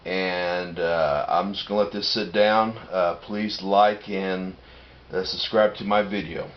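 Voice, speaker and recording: A man speaking casually and haltingly to a camera in a room at home, as a vlogger. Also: on the low side. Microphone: camera microphone in the room. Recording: dull lo-fi camera audio, noticeable hiss.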